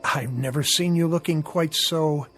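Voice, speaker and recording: An actor playing a polite, flattering gentleman caller with a formal, hesitant manner in a vintage television drama. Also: a little high, unhurried, soft background music. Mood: courteous, admiring. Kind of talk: 1960s television drama acting.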